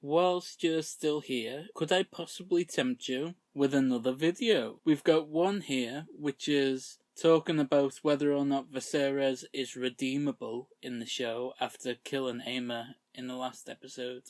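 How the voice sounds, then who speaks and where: A man's voice: mid-range voice, steady tone, unhurried, hardly any pauses, darkish tone, no room sound. Giggly man recapping a show. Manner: giggly, self-mocking. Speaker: YouTube TV reviewer. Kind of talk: comic recap vlog. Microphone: makeshift low-grade mic.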